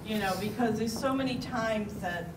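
A middle-aged American woman moderates a live panel discussion. Her mid-pitched voice comes through a handheld mic in an echoey meeting hall. There is clear noise in the room. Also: moderate pace. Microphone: handheld microphone. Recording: echoey noisy hall, distant sound.

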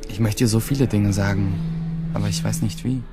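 A man's voice: lowish voice, steady tone, brisk, close to an everyday voice. Brooding schoolboy, played by a man, over music. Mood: uneasy, lost. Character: brooding, detached teenage schoolboy narrating his day. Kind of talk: first-person radio drama narration. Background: music under the voice.

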